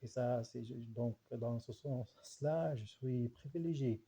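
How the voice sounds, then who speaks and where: Gravelly, mellow man with Arabic accent. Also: mid-range voice. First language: Arabic dialect. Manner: halting, searching for words, much repetition. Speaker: language researcher and writer. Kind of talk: informal spoken reflection.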